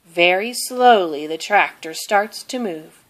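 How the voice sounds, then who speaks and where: Female children's story reader, unhurried and expressive, with lively pitch movement. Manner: expressive, deliberate. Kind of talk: children's read-aloud narration.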